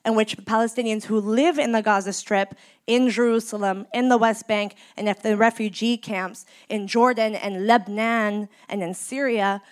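A woman in her thirties from the Bay Area, a community organizer in mourning, giving an impassioned speech in a measured, emphatic way with deliberate pauses. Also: a little high. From San Francisco Bay Area, United States. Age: 30s.

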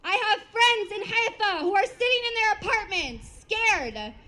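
Woman shouting out short lines at a rally, super high bright voice. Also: animated, measured pace. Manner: impassioned, declarative chant-like lines. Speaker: rally speaker. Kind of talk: impassioned protest speech. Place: outdoor rally.

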